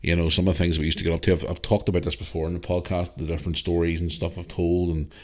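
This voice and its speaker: A man's voice: deep voice, level tone, fast talker. A man in his forties with a Northern Irish accent, hosting a podcast and answering a listener's email in a rambling, chatty way, full of fillers and restarts. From Northern Ireland. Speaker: podcast host. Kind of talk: casual solo podcast, reading listener email.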